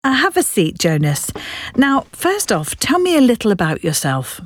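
Woman interviewer, an actress doing her, businesslike and polite, lively melodic voice, dry sound. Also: a little high, no echo. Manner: brisk, polite, probing questions. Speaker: job interviewer. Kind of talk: scripted listening dialogue.

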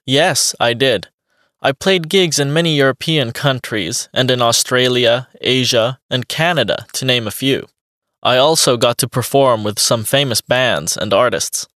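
A man's voice: mid-range voice, unhurried, fairly bright tone, no room sound, lightly acted. A man voicing a friendly young male beatboxer answers a radio host's questions in a mid-range voice. His delivery is plain and evenly paced, like a scripted language-course dialogue. The sound is dry and close, with no room around it. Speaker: interviewee.

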